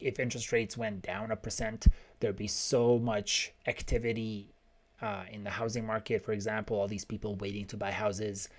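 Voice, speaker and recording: Blunt man commenting live on finance. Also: on the low side. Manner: blunt, opinionated. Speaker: livestream host and macroeconomist. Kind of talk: casual live financial commentary, answering viewer questions.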